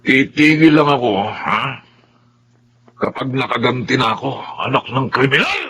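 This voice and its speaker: A man's voice: mid-range voice, big pitch swings, normal speed. A man playing a vengeful, arrogant young bully in a Filipino radio drama, taunting and menacing.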